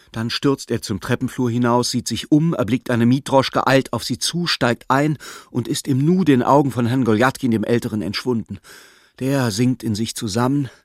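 A man narrating a literary radio play in a measured, precise, wry storytelling manner. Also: lowish voice, no room sound, clean studio sound, lightly acted.

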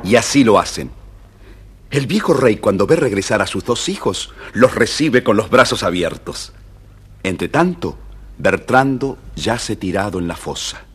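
A man with a husky voice narrating a scripted children's story, dramatic and speaking straight to young listeners. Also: fairly low pitch, lively intonation, unhurried, a bit bright, a little hiss or hum.

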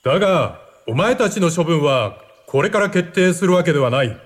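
An actor playing a stern Japanese army officer who addresses prisoners formally and with command. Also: male voice, on the high side, big pitch swings, fast. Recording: echoing hall reverb.

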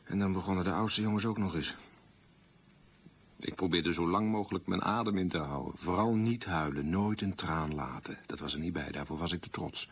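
A wounded, proud survivor, husky, restrained confessional delivery, radio drama, dull recording. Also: male voice, deep voice, moderate pace. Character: proud, wounded man recalling a humiliated wartime childhood.

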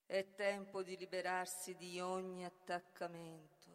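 A woman's voice: on the low side, little pitch movement, a little slow, natural voice. An actress playing a solemn tragic woman, chanting like a chorus in a declamatory, incantatory theatre reading. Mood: grave, resolute. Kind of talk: poetic avant-garde theatre reading, half-sung incantation. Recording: long hall reverb, music behind.

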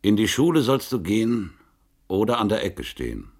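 A man's voice: fairly low pitch, sing-song, moderate pace, a little mellow. An actor playing an ironic male narrator who recites mocking rhymes in a declamatory sing-song for a radio play. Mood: ironic reciting.

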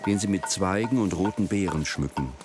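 Low-voiced male narrator over music. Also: brisk. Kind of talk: scripted documentary-like radio narration.

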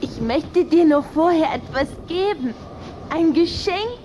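Little vampire girl, very high voice, unhurried, coquettish, jealous, children's audio drama, noisy track. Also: expressive, full character voice. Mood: teasing, coy. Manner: giggly, coquettish, easily piqued. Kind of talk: German children's radio play acting.

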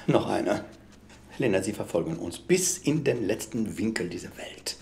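An actress playing a bitter, hostile older woman in a radio drama, with a creaky voice. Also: rumbling low, expressive, normal speed, darkish tone, a little hiss or hum, full character voice. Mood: bitter, outraged. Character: bitter older woman, garish club regular, French-speaking, hostile.

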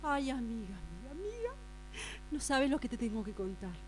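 A woman acting a gossipy friend confiding secrets in a hushed, urgent way with an Argentine accent, in an improvised radio drama. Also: fairly high pitch, sing-song, normal speed. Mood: secretive, excited. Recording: mains hum noise.

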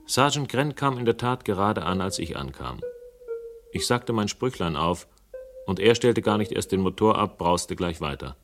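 An actor playing a world-weary, laconic first-person narrator in a radio crime drama, with dry irony. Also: male voice, sits low, lively intonation, moderate pace. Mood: reflective, dry. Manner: laconic, literary, dryly ironic. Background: music.

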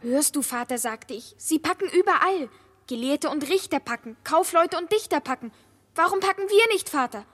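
High-voiced frightened child. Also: female voice, animated, moderate pace, fairly bright tone. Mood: urgent, questioning. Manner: insistent, plaintive, sing-song repetition. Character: frightened, pleading child of ten, an orphan. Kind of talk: 1960s radio play acting.

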